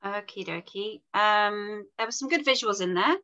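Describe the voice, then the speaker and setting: A woman's voice: mid-range voice, normal speed, pushed and bright, muffled recording. A woman giving informal script feedback as a panel reader, tentative and tactful in how she words it. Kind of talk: informal spoken critique.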